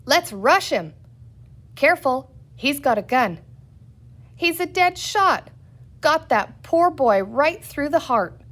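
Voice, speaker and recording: A woman voicing angry little green men in a storybook, shouting old-west cowboy slang. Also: high voice, very animated, slow, pauses often, pitched above the performer's own voice, heavily acted. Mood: urgent.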